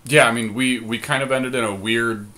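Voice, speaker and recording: Bright-voiced man chatting casually. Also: mid-range voice, normal speed. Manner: relaxed, thinks aloud with frequent ums. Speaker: podcast co-host. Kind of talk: casual chat about card drafting.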